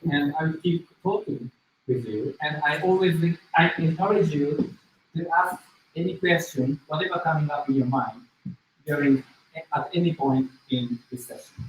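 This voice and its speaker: A man with a Japanese accent lecturing to a class in a deliberate, halting way, as a native Japanese speaker. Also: a little high. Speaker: business school lecturer. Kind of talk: interactive demo lecture with self-introduction. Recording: very echoey room, distant mic, faint noise.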